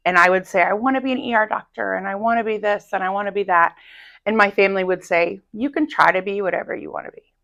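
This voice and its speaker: Loud, lively woman teacher chatting. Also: medium pitch. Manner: loud, energetic storyteller. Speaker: Spanish teacher, interview guest. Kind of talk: casual interview chat. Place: high school classroom.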